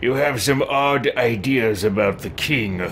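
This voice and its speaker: A man voicing a stern old adventurer for game dialogue, with an inconsistent British accent and a brusque, deliberate delivery. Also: mid-range voice, fairly bright tone, natural voice. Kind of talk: role-played game dialogue. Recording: noisy game ambience behind voice.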